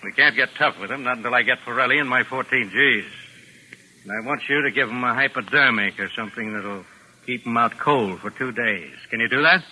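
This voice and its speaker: A menacing American mob boss in an old radio play. The voice is very sharp and forceful, the talk curt and full of underworld slang. The vintage recording is clearly noisy. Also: male voice, medium pitch, fairly quick. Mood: pressing. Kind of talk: 1940s radio drama acting.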